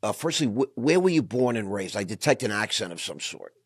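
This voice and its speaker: Husky-voiced male podcast host. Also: lowish voice, brisk, dry recording, studio quality. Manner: enthusiastic, effusive, repeats words when excited. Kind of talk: conversational interview.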